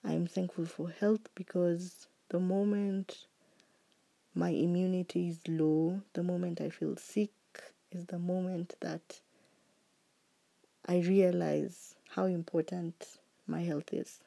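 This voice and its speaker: A woman hosting her own podcast, talking slowly and earnestly about what she is grateful for, her voice still recovering from being sick. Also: on the low side, flat delivery, vocal fry. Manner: slow, heartfelt and earnest, with soft trailing phrases. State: recovering voice after illness. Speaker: podcast host. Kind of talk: reflective solo podcast monologue.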